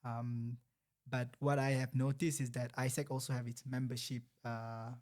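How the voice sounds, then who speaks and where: A man's voice: mid-range voice, level tone, moderate pace, darkish tone. A young man from Malaysia, a guest in a casual chat, who laughs often and hesitates with ums.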